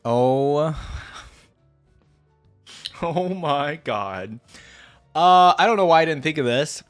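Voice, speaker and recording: A man's voice: on the high side, animated, slow, clear, resonant tone. A man giving casual reaction commentary in a conversational way, thinking aloud as he goes. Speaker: gaming video creator, reactor. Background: music.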